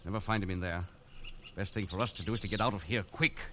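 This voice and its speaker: An actor playing a level-headed male companion in an old radio drama, brisk and reassuring. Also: fairly low pitch, close to an everyday voice. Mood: wary, blunt. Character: steady, gruff adult male companion, dry-humored. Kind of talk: 1940s radio drama acting. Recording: noisy vintage radio broadcast.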